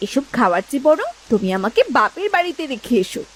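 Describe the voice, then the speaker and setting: A woman's voice: sits high, big pitch swings, fast, slightly rough, full character voice. A woman voicing a nagging, bossy village housewife in a cartoon, commanding and practical. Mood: nagging, cross. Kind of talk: Bengali cartoon dialogue.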